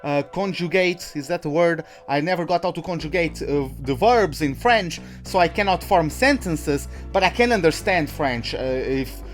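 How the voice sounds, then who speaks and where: A young man whose first language is Portuguese talks over a racing game in a fairly high voice, rambling and chatty. He restarts sentences often, fills gaps with "uh" and "like," and pokes fun at himself. Soft game music plays faintly behind him. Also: animated, normal speed, hardly any pauses. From Portuguese-speaking country. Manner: rambling, chatty, many fillers and restarts, self-mocking. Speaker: gaming YouTuber. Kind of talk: casual let's-play commentary.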